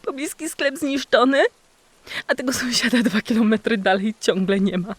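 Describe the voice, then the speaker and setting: A woman's voice: a little high, steady tone, normal speed, husky, dry recording. A woman acting as a loving, worried mother voicing her diary in an audio drama. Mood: grieving, desperate.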